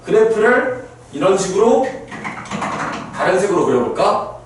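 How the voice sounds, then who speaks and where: A man of about thirty teaches a math class, walking students through each step and checking after each one that they understood. His voice sits high and swoops up and down. He is recorded from across a very echoey classroom. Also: sing-song, measured pace, slight background noise. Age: around 30. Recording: very echoey classroom, mic far off.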